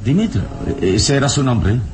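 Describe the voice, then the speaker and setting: A man acting a calm, commanding adventurer hero in a radio drama, questioning in a measured way with dramatic pauses. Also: medium pitch, background noise, lightly acted. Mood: grave, probing. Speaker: hero and narrator.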